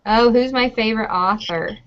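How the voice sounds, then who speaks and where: A woman's voice: a little high, moderate pace. A middle-aged American woman, a university professor, answering questions in a friendly, chatty way with frequent ums. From United States. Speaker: university professor of teaching methods. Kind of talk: casual interview answers. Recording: dull lo-fi audio with clear noise.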